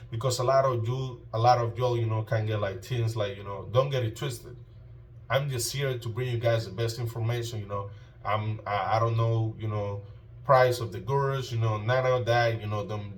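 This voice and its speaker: Colombian guy youtuber chatting casually, kinda deep voice, slangy, says you know a lot, mic sounds a bit far. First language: Spanish. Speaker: YouTube host. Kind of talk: casual informal vlog talk.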